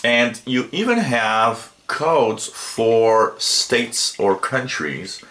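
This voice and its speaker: A man giving a casual, unscripted app walkthrough as a reviewer, with halting, stop-start phrasing. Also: mid-range voice, lively intonation, bright, forceful tone. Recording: roomy, echoey home recording.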